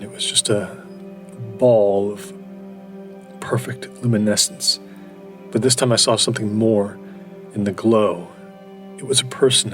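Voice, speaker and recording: Man playing a haunted low-voiced seeker. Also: close to an everyday voice. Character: solitary male seeker recounting an eerie night search. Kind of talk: first-person horror audio drama monologue. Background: faint music.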